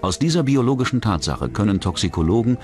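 A man narrating a scripted true-crime documentary in a measured, matter-of-fact manner. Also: lowish voice, expressive, darkish tone, faint music behind.

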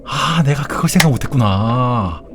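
A man's voice: medium pitch, animated, normal speed, fairly bright tone, a little hiss or hum. A man in his forties, a game streamer, chatting casually with viewers in a joking, rambling way with blunt swearing. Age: 40s. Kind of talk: casual live-stream chat while gaming.

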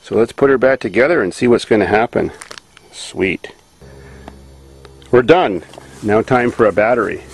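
A man's voice: on the low side, animated, normal speed, pauses often. A man, giving casual running commentary in breezy, slangy short remarks on a handheld camera. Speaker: amateur mechanic filming his truck. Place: outdoors and inside a truck cab. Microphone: handheld camera at arm's length. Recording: noisy handheld camcorder, vehicle and outdoor noise.

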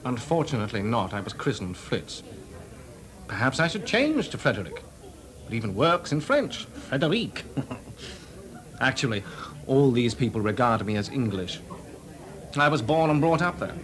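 An actor playing a witty young composer in a 1980s radio drama, talking dryly and ironically. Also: male voice, medium pitch, big pitch swings, normal speed, pauses often, fairly bright tone. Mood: wry. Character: composer Delius, young man, wry and witty. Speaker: lead actor. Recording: party crowd behind.

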